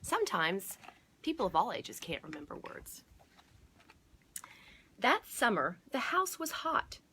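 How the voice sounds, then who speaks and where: A woman hosting a children's storytime, reading aloud and pausing to explain and point things out to her listeners. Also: on the high side, big pitch swings, pushed and bright, a little hiss or hum. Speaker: storytime host at a museum garden. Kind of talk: read-aloud storytime for children with chatty asides.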